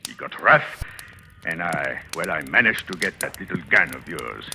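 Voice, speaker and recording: A man's voice: very deep voice, expressive, moderate pace, vocal fry, bright, forceful tone, slight background noise, full character voice. An actor playing a scheming, self-assured husband in a 1940s radio thriller, theatrical and intense, confessing his own crime. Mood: shaken, pleading. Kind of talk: 1940s radio thriller acting, first-person confessional narration. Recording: old radio recording with dramatic music.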